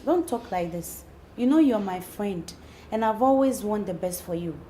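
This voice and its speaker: An actress with a Ghanaian accent playing a loyal friend who tries to calm and advise. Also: female voice, mid-range voice, normal speed, reverberant, crowd noise, lightly acted. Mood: soothing. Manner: soothing, earnest. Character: loyal female friend, calming and practical.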